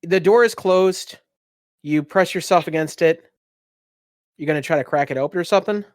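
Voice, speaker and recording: A man's voice: on the high side, normal speed, no room sound, clean studio sound. A man working as game master, improvising casual narration for a tabletop game.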